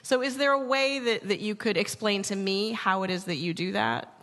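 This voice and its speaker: A woman hosting a conversational live interview, asking questions in a tentative, halting way. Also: mid-range voice, fairly even intonation, fairly bright tone. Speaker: radio show host interviewing. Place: large hall, live radio show. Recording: reverberant live hall.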